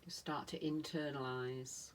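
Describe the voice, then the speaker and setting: Low-voiced woman guiding relaxation, very unhurried. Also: steady tone. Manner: soothing, unhurried, speaking in short phrases with long pauses. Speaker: yoga nidra meditation teacher. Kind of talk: guided relaxation meditation.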